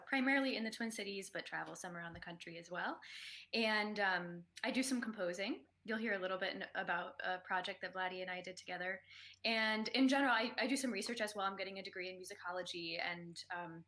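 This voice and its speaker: A woman's voice: mid-range voice, sharp tone. A young adult woman, a soprano and graduate student, talking about her singing and research in an earnest, thoughtful way with light hesitations. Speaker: soprano, choral singer. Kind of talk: conversational interview.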